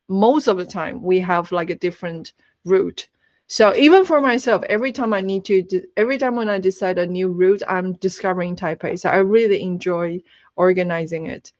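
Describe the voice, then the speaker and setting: A woman's voice: fairly low pitch, a little mellow, no room sound, highs cut off. A woman from Taiwan with a Taiwanese accent, speaking English as a webinar guest, earnest and laughing often, with many fillers. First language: Taiwanese Hokkien. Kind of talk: presenting in a webinar.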